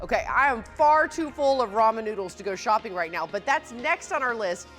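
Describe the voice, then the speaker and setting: A woman hosting a food segment, her voice slightly high and moving a lot. She reacts with plain enthusiasm as she tastes. Soft music plays faintly under her. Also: brisk. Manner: enthusiastic, animated reactions while tasting. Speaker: TV host. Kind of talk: lifestyle show hosting and food tasting.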